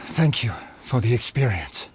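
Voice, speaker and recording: Casting: male actor, dignified young swordsmith, polite and earnest, medium pitch, anime fantasy game, light music. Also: sing-song, moderate pace, close to an everyday voice. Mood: respectful.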